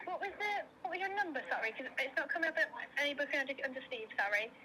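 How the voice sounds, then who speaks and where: A woman's voice: sits high, animated, rapid-fire, noisy background. A woman with a British accent working at a restaurant, politely and apologetically taking a call on a phone line. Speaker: restaurant staff taking calls. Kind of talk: customer service phone chat.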